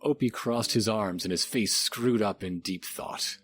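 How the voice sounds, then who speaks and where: Low-voiced man narrating a story. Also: measured pace, a bit bright, slightly rough, faint music behind. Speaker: guest narrator.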